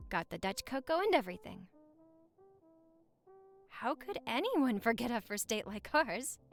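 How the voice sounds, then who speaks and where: High, lively, playful young wife played by a woman. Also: gravelly.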